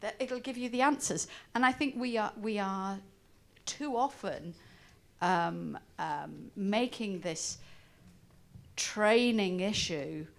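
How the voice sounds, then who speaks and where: Woman from Wales, conference audience member, mid-pitched, argues her point emphatically. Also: slow, vocal fry. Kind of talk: opinionated discussion remarks from the audience. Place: conference breakout room, speaking from the audience.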